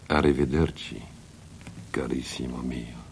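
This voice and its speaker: Old Italian gentleman: unworried, affectionate, rock-bottom pitch, very slow. Noisy radio play. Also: steady tone, heavily acted. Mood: serene, affectionate. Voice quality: gravelly, very deep. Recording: clearly noisy archive radio recording.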